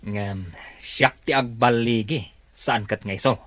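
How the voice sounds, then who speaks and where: A man's voice: mid-range voice, slow pace, a bit bright, no echo. An actor playing a greedy, scheming male cousin in a radio drama, wheedling and sneering with a Filipino accent. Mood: scornful, scheming.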